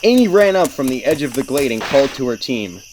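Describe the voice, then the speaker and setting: A man's voice: mid-range voice, sing-song, brisk, background noise. A man reading scripted story narration as an audiobook narrator, in a dramatic storytelling manner. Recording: music behind the narration.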